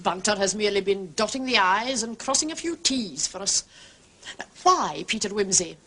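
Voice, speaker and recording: A woman's voice: medium pitch, lively intonation, normal speed, bright, forceful tone. An actress playing an outspoken, indignant woman in a period detective drama, bossy and emphatic in manner. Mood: knowing, challenging.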